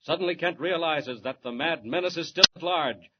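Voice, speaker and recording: A man's voice: on the high side, moderate pace. A man narrating a scripted 1940s radio serial in an urgent, declamatory, dramatic manner. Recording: muffled, noisy old radio recording.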